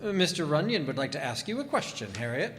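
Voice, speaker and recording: A higher male voice with wide swings echoes in a hall. It is a man acting a stiffly polite, timid-seeming husband with menace underneath, a killer in an old radio mystery. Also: sing-song, fast talker, fairly bright tone. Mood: coldly polite. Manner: prim, formal, stiffly polite. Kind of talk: old-time radio detective drama. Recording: reverberant hall.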